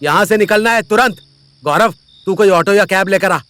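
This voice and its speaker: A man's voice: sits high, normal speed, a bit bright, dry recording. An actor playing a level-headed young man who takes charge, firm and reassuring, in an audio drama. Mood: urgent, firm. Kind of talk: audio drama acting in Hindi with English words. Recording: music bed behind voice.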